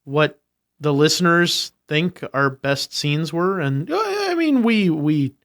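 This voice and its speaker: High-voiced joking male podcast co-host. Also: big pitch swings, slow pace, fairly bright tone. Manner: joking, laughing. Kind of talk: casual comedic chat.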